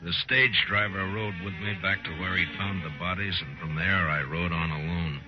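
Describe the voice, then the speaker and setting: Man acting a terse frontier lawman, very low pitch, stern, laconic, 1950s radio western, dull archival recording. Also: fairly even intonation, normal speed, over loud music, close to an everyday voice. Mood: dry narration. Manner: terse, understated, questioning. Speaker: lead actor and narrator in a radio western.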